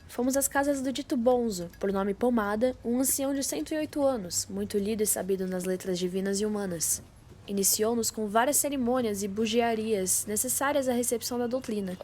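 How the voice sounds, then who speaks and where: Casting: young Brazilian female narrator, scripted classic-story reading, higher-than-average voice, lightly noisy amateur recording. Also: darkish tone. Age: teenager or young adult. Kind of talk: scripted literary narration for student radio drama. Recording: faint background noise.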